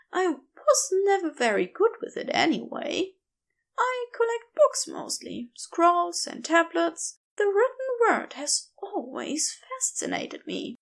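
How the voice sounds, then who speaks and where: A woman voicing a gentle, anxious, bookish male centaur in a storybook reading, polite and flustered. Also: very high-pitched, pitched above the performer's own voice, exaggerated voice.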